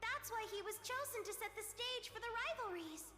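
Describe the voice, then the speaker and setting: A woman's voice: very high-pitched, lively intonation, a little fast, sharp tone, exaggerated voice. A woman voicing an earnest young girl in a video game cutscene. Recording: echoey hall reverb, faint music behind.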